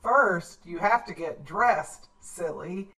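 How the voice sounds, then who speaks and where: Female reader as storybook frog father: patient, gently exasperated, slow measured delivery. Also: fairly low pitch, expressive, far from the mic, full character voice, low-quality recording.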